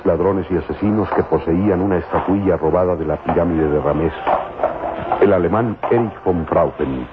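A man narrating an old radio serial in a solemn, grandiose, measured dramatic style. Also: low-pitched, background noise. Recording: muffled old phone-like radio, loud music. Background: dramatic music.

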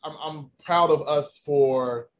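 A man hosting a casual discussion, giving emphatic and animated opinions. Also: on the high side, slow pace. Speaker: host.

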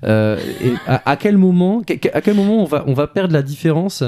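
A man's voice: fairly high pitch, very animated, brisk, mellow. A man hosting a film discussion podcast who hesitates in debate and reads his scripted review fluently. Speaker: podcast host.

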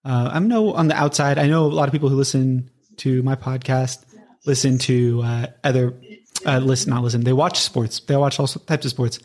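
A man's voice: medium pitch, fairly even intonation, fairly quick, darkish tone. A man with an American accent, interviewing casually in an easygoing, informal way with loose, hesitant phrasing. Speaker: podcast coach, interviewer.

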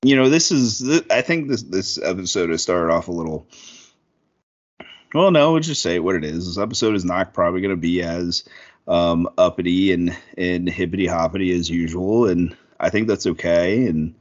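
A man's voice: low-pitched, normal speed, lo-fi sound. A man in his thirties co-hosting a podcast, talking casually with lots of fillers and swearing. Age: 30s. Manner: rambling, profane, lots of fillers. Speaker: podcast co-host.

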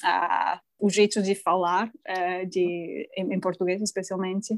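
A woman's voice: lowish voice, flat delivery, measured pace, dry recording. A woman from the United Kingdom, a native English speaker and language teacher, answering interview questions in learned Portuguese, hesitant and searching for words.

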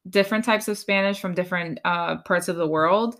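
A woman's voice: fairly low pitch, steady tone, fairly quick, fairly bright tone. A woman from Puerto Rico with a Puerto Rican accent, co-hosting a podcast in casual chat, mixing in Spanish and laughing often. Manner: chatty, laughs often, mixes Spanish into English. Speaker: podcast co-host.

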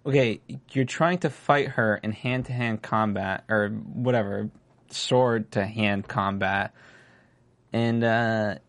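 A man hosting a casual TV recap chat, jokey and raspy, often trailing off mid-sentence. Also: lowish voice, fairly even intonation, slow. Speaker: show host.

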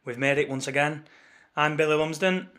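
A man with a croaky voice co-hosting a casual football podcast over a remote call, chatty and wry. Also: a little high, moderate pace, pushed and bright, soft background music. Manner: chatty, wry, leads the talk. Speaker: podcast co-host. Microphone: remote call recording.

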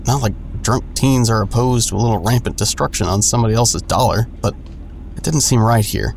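A man's voice: medium pitch, a little fast, vocal fry, a little mellow, a little hiss or hum, close to an everyday voice. An actor playing a jaded man who narrates his own story in an audio drama with wry, sardonic delivery. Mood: wry, brooding. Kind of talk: first-person noir-like audio drama narration.

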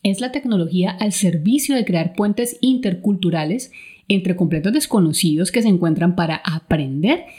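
A woman's voice: on the low side, lively intonation, normal speed, mellow, husky. A woman with a Colombian Spanish accent hosting a travel podcast in a partly scripted, conversational way. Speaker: podcast host.

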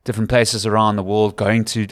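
Man, thirties, Cape Town accent, low voice, dry recording, earnest conversational storytelling, interview guest. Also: flat delivery, a little fast, no echo. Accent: South African, Cape Town. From South Africa. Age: 30s. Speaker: rugby commentator, podcast guest.

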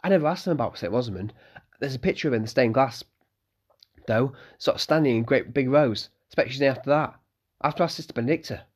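A man's voice: mid-range voice, expressive, fast, slightly rough, pitched above the performer's own voice. A man voicing an eager, polite eleven-year-old innkeeper's boy who keeps calling the guests sir.

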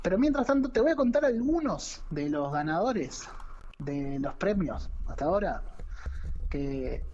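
A man's voice: high voice, very animated, a little slow, a little mellow, a little hiss or hum. A man with an Argentine accent giving conversational commentary as a podcast co-host. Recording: dull, lo-fi voice audio.

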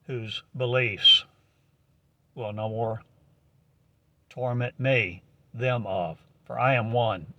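A man delivers a prophetic monologue in a slow, halting, solemn cadence, full of archaic turns of phrase. His voice sits at an ordinary pitch and is recorded close and dry, with no room around it. The recording is dull and lo-fi. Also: fairly bright tone, no room sound.

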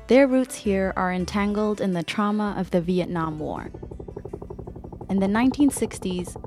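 A woman's voice: medium pitch, darkish tone. A woman from Ethiopia reading scripted narration as the host of a podcast. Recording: music under the voice. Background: background music.

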